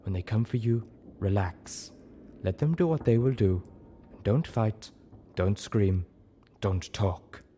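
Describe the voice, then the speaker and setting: A scarred zebra mare voiced by a man from a fantasy audiobook, weary and haunted after slavery. The voice is low, heavy and grave, in short halting phrases, with faint noise on the recording. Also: expressive, warm tone. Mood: grim, hushed.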